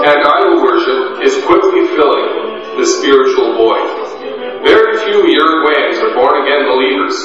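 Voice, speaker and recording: A man whose first language is Spanish, a missionary giving an earnest, measured scripted testimony narration. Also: on the high side. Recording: echoey, distant, muffled, with music. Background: background music.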